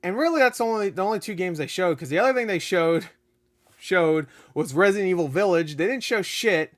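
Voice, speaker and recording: Guy with a higher voice rambling excitedly about games, laughing and swearing, kinda raspy. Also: a little fast. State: voice getting raspy. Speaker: gaming video host. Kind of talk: casual unscripted review.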